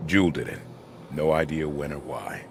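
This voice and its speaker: An actor playing a calm, commanding soldier who leads a squad in a sci-fi game, speaking in terse, clipped orders. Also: male voice, very deep voice, normal speed, vocal fry, a little hiss or hum, heavily acted. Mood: calm, focused. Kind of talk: video game military dialogue.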